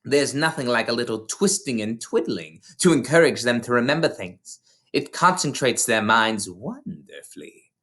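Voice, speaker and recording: A reader voicing a bullying, contemptuous older headmistress from a storybook, scolding with heavy, drawn-out emphasis. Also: mid-range voice, animated, fairly bright tone. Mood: smug, instructing. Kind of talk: storybook character voice in a read-aloud.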